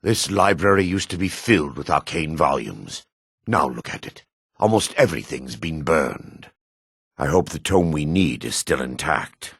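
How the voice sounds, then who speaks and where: An actor playing a solemn, world-weary dark elf man in a fantasy video game, with a raspy, measured, theatrical delivery. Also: very deep voice, lively intonation, dry recording, clean studio sound, heavily acted. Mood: grave, reflective.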